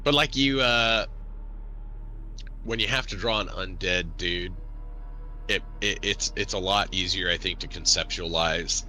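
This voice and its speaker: A middle-aged man talks casually in a low, bright voice, readily agreeing and encouraging his partner. Soft music plays behind him. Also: measured pace, pauses often. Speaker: podcast co-host. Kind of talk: casual chat.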